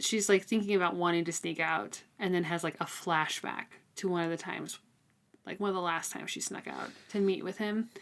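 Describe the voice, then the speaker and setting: Slightly low-voiced American woman, casually explaining. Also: fairly bright tone. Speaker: podcast co-host. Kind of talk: casual book club chat.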